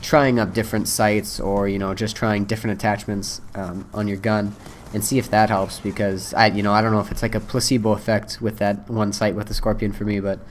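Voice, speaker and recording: A hoarse young man chatting about a game in a low, fairly flat voice. He rambles loosely, filling pauses with ums and uhs. A faint hum sits underneath the recording. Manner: rambling, many fillers. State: hoarse from a cold. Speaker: gaming video creator. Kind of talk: casual first-impressions talk.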